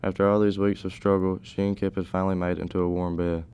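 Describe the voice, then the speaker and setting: Low, monotone young male narrator. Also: quick pace, smooth, dull audio. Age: teenager. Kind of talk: scripted story narration.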